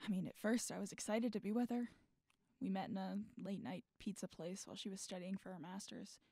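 A woman plays a torn, guarded figure weighed down by regret in an audio drama. The delivery is halting and natural, at a medium pitch. The sound is dry and close. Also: creaky, mellow, slightly rough, no echo. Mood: regretful. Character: guarded, regretful adult named Hugh, torn and bitter. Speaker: voice actor in student audio drama.

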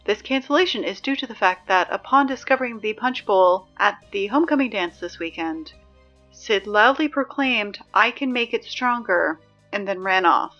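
A woman playing a sarcastic, exasperated high school announcer in a scripted audio drama, dry and emphatic in delivery. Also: expressive, normal speed, pushed and bright, lo-fi sound, faint music behind. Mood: dry, matter-of-fact. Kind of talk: scripted fiction podcast, PA announcements.

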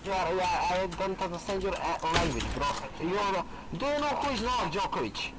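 A man from Serbia with a Serbian accent, a native Serbian speaker trading insults in halting, broken English over headset voice chat during an online game. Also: high-pitched, lively intonation, a loud crowd, low-quality recording.

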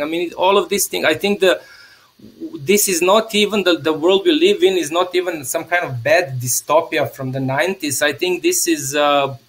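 A man from Serbia, in his thirties, speaks over a video call from a small room at home. His voice sits a bit high for a man, and he wanders through his thoughts with restarts and small laughs. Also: fairly bright tone. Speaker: guest, theatre artist. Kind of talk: casual conversation. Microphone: video call webcam.

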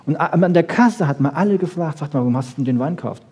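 Man lecturing informally in a husky, mellow voice. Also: fairly high pitch, expressive, fast. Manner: conversational, explanatory, rhetorical questions and emphatic short sentences. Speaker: lecturer on brain research. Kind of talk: animated informal lecture to an audience.

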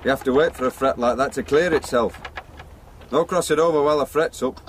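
An actor playing a taciturn, gruff country pony-trap driver in a radio drama. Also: male voice, mid-range voice, brisk, slight background noise, lightly acted. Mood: dour. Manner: terse, blunt, clipped phrases.

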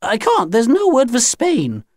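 Shrill, panicky old alien aunt. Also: male voice, huge pitch swings, measured pace, a little mellow, no room sound, clean studio sound, full character voice. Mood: reluctant. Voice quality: metallic, squawking. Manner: pleading, frantic. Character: alien robot posing as old great aunt, panicky, metallic, pleading.

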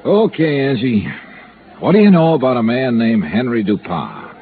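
An American actor playing a dry, persistent insurance investigator who also narrates in old radio detective style. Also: male voice, medium pitch, very animated, moderate pace, phone-quality sound, a little hiss or hum, lightly acted. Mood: wry, questioning. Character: hard-boiled male insurance investigator, dry, persistent, unhurried. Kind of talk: 1950s radio detective acting and first-person narration. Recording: band-limited old radio recording with music.

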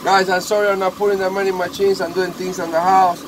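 A man's voice: high voice, little pitch movement, fast talker. Spanish-accented man in a noisy workshop. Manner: chatty, talks to the machine as if to a pet. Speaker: eBay parts seller. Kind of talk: casual workshop vlog. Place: cluttered workshop indoors. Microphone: phone camera held close. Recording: phone recording with clear engine noise. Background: engine and machine noise.

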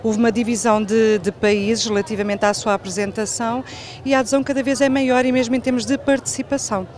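A woman's voice: a little high, steady tone, fast talker, a little mellow. Woman, European Portuguese accent, formal statement, handheld mic. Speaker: organizer. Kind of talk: interview statement.

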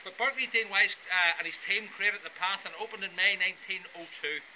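A man with a high voice gives a guided tour talk as the guide. He is outdoors on a coastal path, and loud noise covers the camera recording. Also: quick pace. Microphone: camera among the group.

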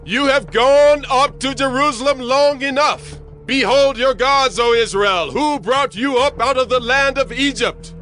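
An actor playing a defiant man of ancient Israel, calling out forcefully to the people in a dramatized audio Bible. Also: very high voice, a little slow, hardly any pauses, soft background music, heavily acted. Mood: proclaiming.

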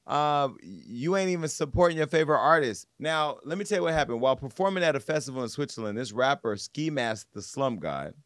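A man's voice: medium pitch, lively intonation, fairly quick, hardly any pauses, fairly bright tone, no room sound. Dry, close-miked sassy male podcast host. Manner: sassy, mocking, slangy. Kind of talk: casual comedic commentary on viral news. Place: podcast studio. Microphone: close studio microphone on desk.

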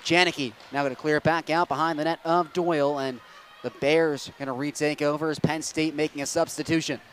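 A young man calls a hockey game play-by-play in a fairly high voice. He keeps up a quick, steady flow of action and then erupts into loud, excited goal calls. A faint hum of arena noise sits behind him. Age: young adult. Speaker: play-by-play announcer.

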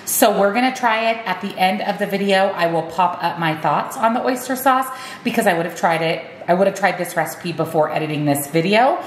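A woman's voice: medium pitch, animated, a little fast, echoey room, slight background noise. A woman giving upbeat step-by-step meal prep instructions in her home kitchen. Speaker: cooking vlogger.

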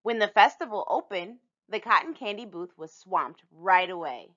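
A woman reading a children's picture book aloud in an expressive storytelling manner. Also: medium pitch, normal speed, dull audio. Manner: animated, storytelling delivery for young children.

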